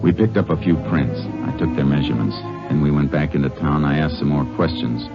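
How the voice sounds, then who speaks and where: An American actor playing a steady, methodical Texas Ranger in a 1950s western radio drama, speaking in a laconic, plainspoken way. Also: male voice, extremely low pitch, brisk. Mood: measured narrating. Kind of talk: 1950s radio drama acting with first-person narration. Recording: muffled vintage radio recording with music.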